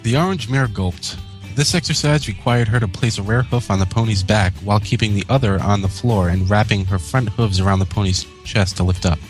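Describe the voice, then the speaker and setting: A man's voice: fairly low pitch, a little fast, faint music behind. A man reading a scripted story aloud as a co-host and narrator. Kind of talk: scripted fan fiction narration.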